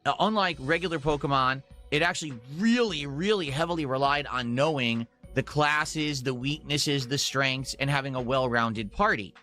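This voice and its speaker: A man hosts a gaming livestream, chatting and rambling casually to his audience. His voice is medium in pitch with a sharp, forceful brightness. Light music runs underneath. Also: moderate pace, few pauses. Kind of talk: casual livestream chat to viewers.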